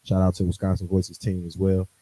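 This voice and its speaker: A man with a fairly low voice introduces himself on a podcast. His talk is relaxed and easygoing, like a radio regular chatting with friends. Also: fairly quick, breathy edge, no room sound. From Milwaukee, USA. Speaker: podcast guest, radio host. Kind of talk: casual chat.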